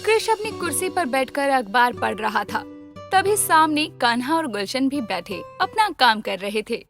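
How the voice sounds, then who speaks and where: A woman's voice: high-pitched, sing-song, moderate pace, gravelly, music in the background. A woman narrator delivering scripted story narration.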